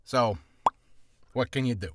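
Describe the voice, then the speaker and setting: A man's voice: medium pitch, a little slow, a bit bright. A man giving beginner voice-acting advice in a casual vlog, nervous and rambling, with self-deprecating asides, from a small, hot, cramped room.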